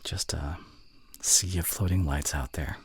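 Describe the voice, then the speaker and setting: A man playing a snarky but secretly fond young college voice actor in an intimate ASMR roleplay, teasing and grumbling with a breathy voice. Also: a bass voice, fairly even intonation, unhurried, slight background noise. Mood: soft, wistful.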